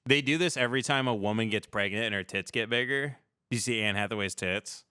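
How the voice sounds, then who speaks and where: Bright, clear-voiced man chatting casually. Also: lowish voice, a little fast, no room sound, studio quality. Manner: rambling, profane, irreverent storytelling. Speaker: livestream show host. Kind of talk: casual comedic chat.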